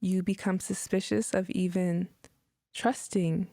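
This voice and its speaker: A woman leading a guided meditation in a soft, breathy, measured manner with long pauses. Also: lowish voice, flat delivery, no room sound, studio quality. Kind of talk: guided meditation, scripted narration.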